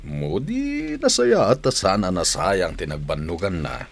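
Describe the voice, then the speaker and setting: A Filipino actor playing a grown family man in a radio drama, with a husky voice. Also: sits low, sing-song, measured pace, full character voice. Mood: concerned. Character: henpecked, apologetic married engineer brother and uncle.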